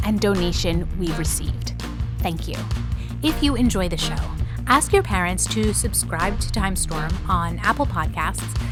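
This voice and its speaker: A woman reading scripted narration as the host of a show. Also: medium pitch. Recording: music bed behind voice.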